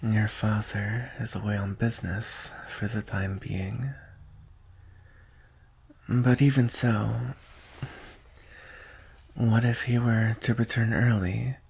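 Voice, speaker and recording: A man playing a devoted, solemn male dragon guard in an intimate ASMR roleplay, speaking in a hushed, wistful, husky voice that often drops to a whisper. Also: fairly low pitch, little pitch movement, full character voice. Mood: wary, hushed. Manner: hushed, deliberate, with long pauses.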